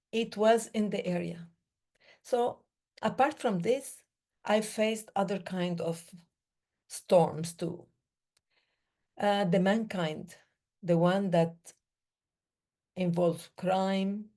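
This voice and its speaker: A woman born in the Middle East, giving a reflective talk on breathing in slow, measured phrases with pauses between them. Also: mid-range voice, dark tone. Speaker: breathing day speaker.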